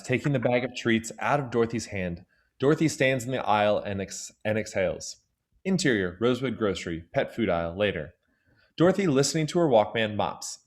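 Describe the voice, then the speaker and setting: A man's voice: fairly low pitch, expressive, a little fast. A man reading scripted stage directions as the narrator of an audio drama.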